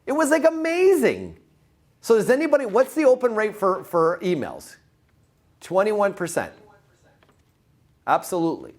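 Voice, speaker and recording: A man giving an informal motivational sales presentation, repeating words for emphasis and putting questions to his listeners. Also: high voice. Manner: informal, punchy, asks the audience questions. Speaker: sales trainer presenting to real estate agents.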